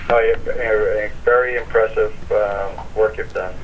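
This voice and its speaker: A man from Boston speaking haltingly and informally on a call as a company representative. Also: lowish voice, fairly even intonation. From Boston, United States. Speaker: company representative announcing an award. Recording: distant, noisy phone-call audio.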